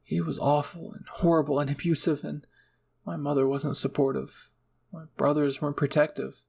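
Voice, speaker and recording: Guy doing a nervous shy young anime runaway, stammery n hesitant, croaky husky voice. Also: a little high, little pitch movement, normal speed, darkish tone. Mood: sad. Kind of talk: anime fan roleplay acting.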